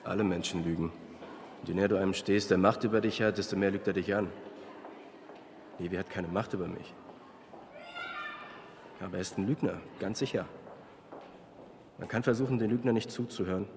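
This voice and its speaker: A man's voice: low voice, a little fast, long pauses. An actor playing an angry, self-justifying teenage tough guy in a radio drama monologue, blunt, slangy and defensive. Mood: bitter, menacing. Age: young adult. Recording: reverberant space, faint background noise.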